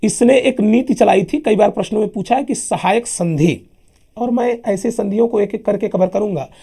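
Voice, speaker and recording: A man with a sore throat teaching a lively history lesson for exams, emphatic and chatty, often calling his listeners sir. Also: sits high, moderate pace. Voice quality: husky, gravelly. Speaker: exam coaching teacher. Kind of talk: lively classroom history lecture.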